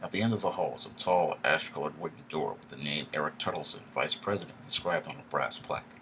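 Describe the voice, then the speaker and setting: A man reading a first-person story aloud in a steady, wry storytelling manner. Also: a bass voice, quick pace, background noise. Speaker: audiobook narrator. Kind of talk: first-person audiobook narration.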